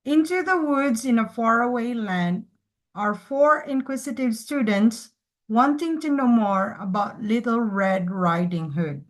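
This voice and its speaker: A woman student presenter reading a scripted, storybook-like introduction to a group presentation. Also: a little high.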